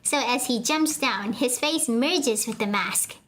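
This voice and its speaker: High-voiced chatty woman streamer. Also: unhurried, fairly bright tone. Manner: chatty, giggly, talks to chat. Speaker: streamer reading a comic aloud. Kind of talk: casual readalong commentary.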